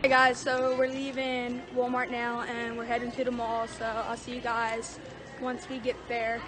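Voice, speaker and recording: A woman's voice: on the high side, monotone, normal speed, over music. A young woman chatting casually to a vlog camera in a playful, teasing way, recorded handheld in busy public places. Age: young adult. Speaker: vlogger. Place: busy stores, mall and parking lot. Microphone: handheld camera at arm's length.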